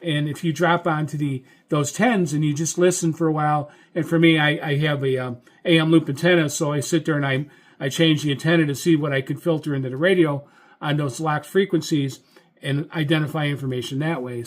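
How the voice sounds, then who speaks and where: An American man, a radio hobbyist host, giving informal tips in a halting, rambling, explanatory way. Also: on the high side, steady tone. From United States. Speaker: amateur radio operator and host. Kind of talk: informal instructional talk.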